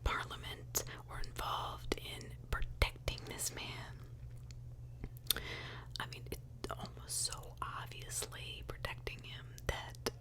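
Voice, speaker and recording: Slow whispering woman. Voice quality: unvoiced breathy whisper. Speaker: ASMR true crime video host. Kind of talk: whispered ASMR true crime storytelling. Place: small closet in a house.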